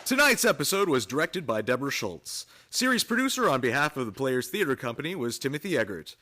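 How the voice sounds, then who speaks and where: A man's voice: medium pitch, big pitch swings, fast, bright, forceful tone. A man reading scripted credits as a radio announcer, recorded live in a theater. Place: theater stage, live performance.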